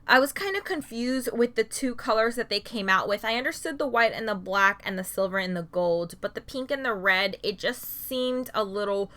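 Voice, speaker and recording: A young woman with a bright, clear-edged voice talks through a product demo in an upbeat, descriptive way. Her delivery is chatty and friendly, with casual fillers. She sounds like a regular beauty vlogger addressing her viewers. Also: a little high, animated, normal speed, hardly any pauses. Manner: upbeat, descriptive, says "like" often.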